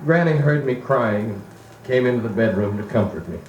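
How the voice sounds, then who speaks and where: A man's voice: medium pitch, a little fast, highs cut off, background noise, close to an everyday voice. An actor playing an earnest country man who narrates his boyhood dramatically in a 1940s radio drama. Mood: sorrowful. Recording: roomy 1940s record. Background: music.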